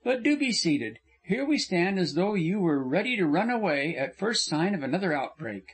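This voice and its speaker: A man's voice: high-pitched, big pitch swings, normal speed, breathy edge, heavily acted. A man voicing a spirited, frank young woman who speaks earnestly and with passion. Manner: earnest, impassioned.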